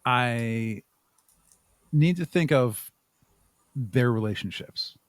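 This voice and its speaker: A man answering interview questions conversationally as a podcast guest, thinking aloud in a halting way with repeated words. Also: mid-range voice, lively intonation, no room sound. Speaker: podcast guest, audio drama writer and producer.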